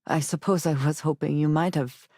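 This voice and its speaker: A guarded, principled spacefaring woman for a video game. She chooses formal, measured words and speaks with earnest care. The recording is dry and close, with no room around the voice. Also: deep voice, steady tone, dark tone, dry recording. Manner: formal, measured phrasing with few contractions. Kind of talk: video game character dialogue.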